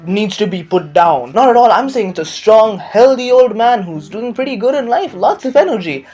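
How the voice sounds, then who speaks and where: A young adult man hosting a casual, comedic commentary rant, joking crudely with sarcasm and exaggeration. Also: high-pitched. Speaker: YouTube commentary host. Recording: music behind the voice. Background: background music.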